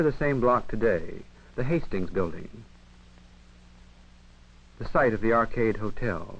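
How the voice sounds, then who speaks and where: Low-voiced American 1950s film narrator, male. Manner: measured, formal, literary phrasing. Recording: dull 1958 film soundtrack, radio-like.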